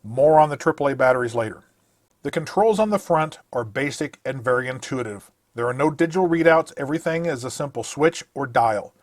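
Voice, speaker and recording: A man's voice: medium pitch, lively intonation, brisk, dry recording, clean studio sound. A man narrating a scripted product review, listing features in a methodical, matter-of-fact way.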